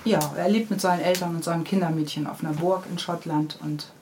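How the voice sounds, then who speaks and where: Middle-aged female author, lowish voice, friendly conversational storytelling in an interview, some background noise. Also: fairly even intonation, fast, darkish tone. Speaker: interviewed children's book author. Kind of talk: casual interview, explaining and storytelling. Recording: clearly audible background noise.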